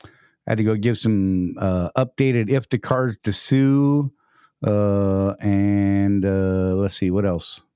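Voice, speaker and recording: A man's voice: fairly low pitch, steady tone, slow, dark tone, clean tone, no room sound, studio quality. Upbeat man hosting a live stream. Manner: upbeat, greets chat viewers by name. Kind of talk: casual live-stream chat.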